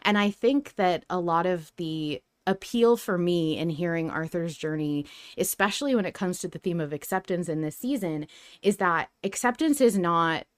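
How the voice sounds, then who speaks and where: A woman co-host speaks in a smooth, clean voice, recorded dry with little room sound. She is casual yet analytical, laying out her arguments earnestly and with emphasis. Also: fairly low pitch, normal speed, dry recording. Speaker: podcast co-host. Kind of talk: casual, analytical podcast discussion.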